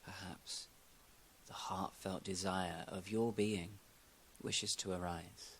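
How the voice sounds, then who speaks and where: A man speaking as a yoga nidra teacher, giving calm, unhurried guided meditation instructions with long pauses. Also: low voice, slight background noise.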